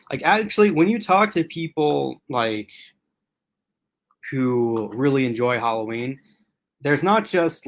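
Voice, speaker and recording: A man hosting a relaxed livestream, talking straight to his chat viewers in a loose, rambling way. His voice is strained from being used a lot, and he stops often to clear his throat. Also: medium pitch. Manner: chatty and rambling, addressing chat commenters. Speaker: host.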